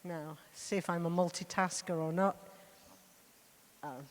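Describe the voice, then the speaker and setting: A woman's voice: fairly low pitch, fairly even intonation, unhurried. A woman giving an informal, conversational presentation as a panel speaker, wandering off into asides. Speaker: panel speaker, art biennale co-director. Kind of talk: informal spoken presentation to an audience. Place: large reverberant hall, on a stage. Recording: echoey hall with long reverb.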